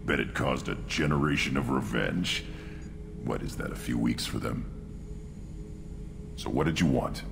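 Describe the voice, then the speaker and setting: Give this game character a massive alien warrior, extremely low and gravelly. He is blunt and bloodthirsty yet loyal, speaking slowly and haltingly over loud background noise. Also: full character voice. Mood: grim relish. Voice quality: gravelly, guttural. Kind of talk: video game character acting.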